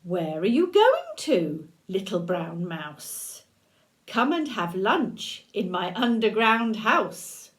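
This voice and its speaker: Storybook fox, sly and hungry, coaxing tone, lively pitch, read by a grandmother. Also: takes it slowly.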